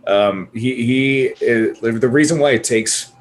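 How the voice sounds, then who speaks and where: A man's voice: mid-range voice, takes it slowly. A man in a casual panel chat, talking emphatically and opinionatedly and swearing freely. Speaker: podcast panelist. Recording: clear background noise.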